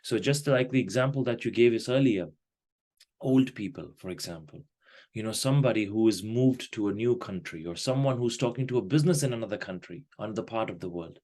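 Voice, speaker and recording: A man's voice: lowish voice, a little fast, mellow. A man hosting a podcast interview, asking long, winding questions with fillers and restarts. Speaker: podcast host.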